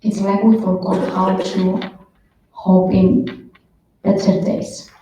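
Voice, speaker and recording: A woman's voice: mid-range voice, flat delivery, slow pace, mellow, a little hiss or hum. Woman answering in a roomy hall. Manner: hesitant, many fillers. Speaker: plenary speaker. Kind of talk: conference Q&A answer. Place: conference hall. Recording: roomy hall, far from the mic, dull.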